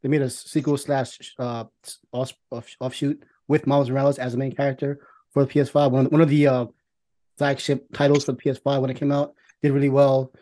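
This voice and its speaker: A man's voice: medium pitch, little pitch movement, fairly quick, mellow. A man co-hosting a casual chat show, rambling and repeating himself, with some swearing. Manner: rambling, repetitive, profane. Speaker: podcast co-host.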